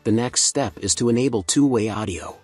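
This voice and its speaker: A man reading a scripted software tutorial in a measured, procedural instructional manner. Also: mid-range voice, breathy edge, faint music behind.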